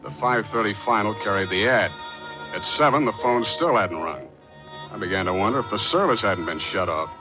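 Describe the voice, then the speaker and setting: An actor playing a wisecracking, brusque private detective, with clipped, cynical delivery and first-person narration in 1940s radio style. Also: male voice, on the low side, expressive, brisk, close to an everyday voice, poor audio. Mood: brusque, wry. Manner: clipped, terse questioning. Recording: 1940s radio drama with music.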